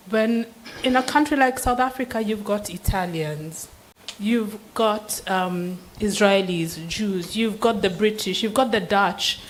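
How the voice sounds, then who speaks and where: A woman's voice: medium pitch, slight background noise, low-quality recording. A woman speaking as a seminar panelist, giving an unscripted answer in an earnest, questioning way with frequent restarts. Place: seminar room.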